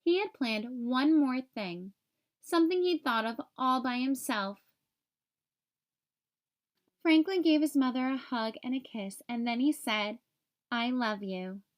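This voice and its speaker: A woman reading a picture book aloud to young children, with expressive, simple storytelling. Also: sits high, unhurried, long pauses.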